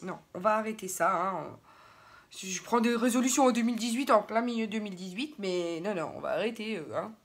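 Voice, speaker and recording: A woman's voice: medium pitch, animated, fairly quick. A young French woman chatting casually to viewers as she does a makeup tutorial, often drifting into asides. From France. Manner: chatty, digressive, talks to viewers. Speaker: beauty vlogger.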